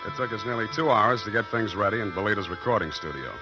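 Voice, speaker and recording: A man's voice: fairly low pitch, quick pace, music in the background, natural voice, low-quality recording. An American actor playing a wisecracking, cocky male private detective in a wry, mock-pompous 1940s radio style. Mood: brisk, confiding.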